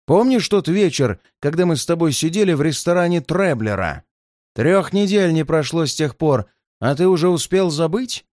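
A hulking, cruel giant of a man threatening his victim in a storybook, as a male narrator puts him on. He speaks slowly and deliberately, with a lively, rising and falling delivery. The sound is close and dry. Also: on the high side, no echo, voice pushed up, close to an everyday voice. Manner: threatening, slow and heavy. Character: menacing red-haired male giant, cruel and gloating.

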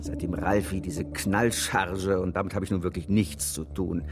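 An actor playing a jealous, sardonic, petty and grumbling PR operative in a German radio drama, sarcastic and given to trailing off. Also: male voice, deep voice, steady tone, moderate pace. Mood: exasperated. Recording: music behind the voice. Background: music.

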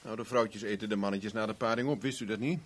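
An actor in a 1970s radio play playing a sardonic, casual private investigator with a dry manner. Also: male voice, fairly low pitch, fairly even intonation, fast talker, fairly bright tone. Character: Rex Carver, wry, unflappable male private investigator.

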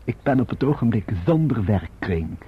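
An actor playing a formal, servile and self-serving manservant in a Dutch radio drama, with a Netherlands accent and measured delivery. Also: male voice, mid-range voice, sing-song, husky. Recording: muffled old radio drama recording.

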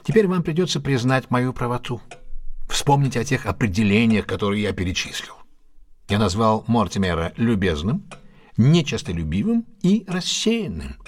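A man's voice: mid-range voice, sing-song, moderate pace. An actor in an audio drama playing a keen, self-assured male detective who reasons step by step in a didactic, theatrical way. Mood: triumphant, precise. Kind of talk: Russian audio drama acting.

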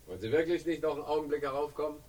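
An actor playing a suave, slippery crime boss in a radio drama, urbane and ironic, with smoothly evasive talk in a husky voice. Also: male voice, on the high side, racing, a little mellow, distant mic, poor audio. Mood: guarded, urbane. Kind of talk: 1960s German radio crime drama acting.

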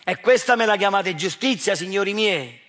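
A man's voice: sits high, lively intonation, normal speed, fairly bright tone, soft background music. A man with a Neapolitan accent performing a theatrical monologue, addressing his audience directly in a provocative, accusatory way with rhetorical questions. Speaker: stage actor performing his own monologue.

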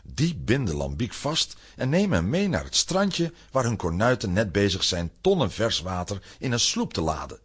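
A male narrator telling a children's adventure story as scripted drama. His voice is low and very husky, swinging widely in pitch for effect. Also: sing-song, normal speed, few pauses.

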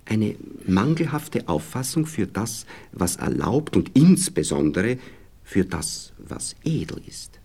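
A man's voice: lowish voice, sing-song, slow, vocal fry, mellow, breathy edge, heavily acted. An actor playing a smug, moralizing husband in a radio play, with an Austrian accent, talking down to his young wife as he gropes for words. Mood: pompous, explaining. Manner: patronizing, hesitant, groping for words.

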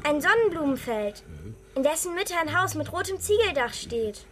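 A woman's voice: high voice, big pitch swings, moderate pace, a little hiss or hum. A woman playing a hurt, cheeky nine-year-old girl in a children's radio drama, with a direct, reproachful, argumentative manner. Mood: cheeky, curious.

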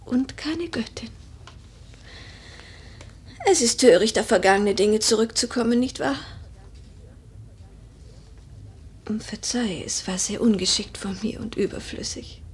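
A melancholy, imperious young noble widow in a radio drama. Her voice is fairly high and very husky with breath, often close to a whisper. She speaks in measured, lyrical stage diction. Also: a little mellow. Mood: guarded, melancholy. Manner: formal, measured, poetic phrasing. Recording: vintage radio drama recording.

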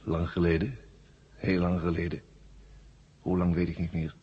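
A man playing an ancient, worn-out war machine brain in a 1970s radio drama, weary and forgetful, in flat, halting, repetitive statements. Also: low-pitched, breathy edge. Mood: flat, dutiful. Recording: muffled old radio drama recording.

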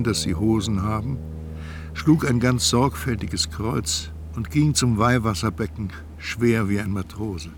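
A doomed fat man in a literary radio play. He speaks slowly and formally, with solemn resignation and careful articulation. A faint noise lies behind the voice. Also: fairly low pitch. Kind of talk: literary radio drama monologue.

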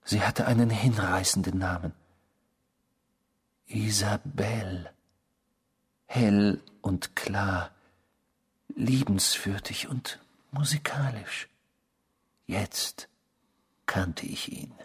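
An actor in a German radio drama playing a self-satisfied, cynical killer who talks in a mocking, theatrical way. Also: male voice, extremely slow, long pauses, breathy edge, full character voice. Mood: chilling confession. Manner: mocking, theatrical, swinging from hushed to shrill.

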